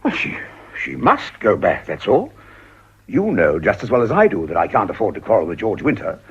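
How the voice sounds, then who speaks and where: Middle-aged English gentleman father, very husky, low voice, wildly varied intonation, blustering, formal, period radio drama. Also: wildly swooping pitch, moderate pace, heavily acted. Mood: anxious, blustering. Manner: blustering, formal upper-class speech. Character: blustering upper-class English father, anxious about money. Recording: dull, lo-fi radio recording.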